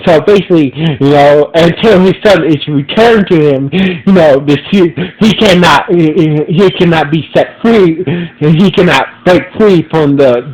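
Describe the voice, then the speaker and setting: A man's voice: fairly high pitch, a little slow, hardly any pauses, darkish tone, a little hiss or hum, poor audio. A man hosting an audio review podcast, talking with a stuffy nose and rambling through many restarts and fillers. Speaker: host. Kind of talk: casual episode review podcast. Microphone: audio-only podcast recording.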